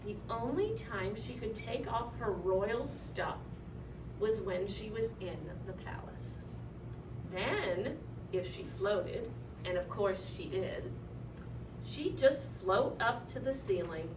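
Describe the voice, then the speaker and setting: Echoey, animated woman reading a children's story. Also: mid-range voice. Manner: animated, deliberate, dramatic emphasis. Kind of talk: expressive read-aloud of a children's picture book. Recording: echoey room, distant mic, clear noise.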